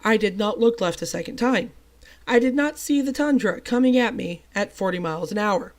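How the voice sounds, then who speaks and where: A young adult storyteller whose voice still sounds a bit off from a recent cold. They talk in a chatty, self-mocking way and break into lively, emphatic bursts. The pitch sits in a middle range. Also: normal speed. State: recovering from a cold, voice a little off. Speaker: channel host telling a personal story. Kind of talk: storytime narration.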